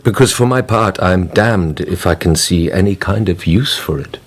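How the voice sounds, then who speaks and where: An actor playing a courteous, vengeful count in a radio drama, speaking in a formal, controlled way. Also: male voice, deep voice, normal speed. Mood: cold, courteous.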